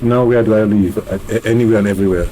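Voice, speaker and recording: A man's voice: fairly low pitch, steady tone, rapid-fire, warm tone, slight background noise. A man with a Nigerian accent, answering interview questions conversationally as a musician. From Nigeria, grew up in Lagos. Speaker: musician and bandleader being interviewed.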